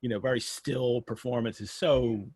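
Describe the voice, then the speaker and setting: A man's voice: mid-range voice, steady tone, normal speed, a little mellow. A man hosting an online question and answer session in a casual, informal way, restarting his phrases. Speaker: host of an online Q&A. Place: remote video call.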